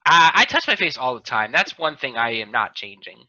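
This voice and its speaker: A man's voice: medium pitch, very animated, a little fast, pushed and bright, no room sound. A man hosting a casual group chat over a video call, often repeating and correcting himself as he goes. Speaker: podcast host. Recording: dull, lo-fi video call audio.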